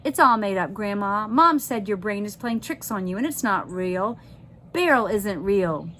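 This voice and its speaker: A woman's voice: mid-range voice, animated, a little slow, bright, forceful tone, a little hiss or hum. A woman voicing an upset young girl who swings between panic and anger in a children's storybook reading. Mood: fed up. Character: panicked, angry young girl narrator, Josie. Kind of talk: dramatic children's novel read-aloud.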